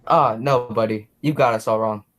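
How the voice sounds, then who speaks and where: A man's voice: mid-range voice, fairly even intonation, normal speed, a bit bright, close to an everyday voice. A young man playing a chatty, oblivious policeman who dreams of writing plays, in a radio drama. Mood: alarmed. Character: chatty, oblivious policeman and eager amateur playwright. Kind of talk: radio play acting.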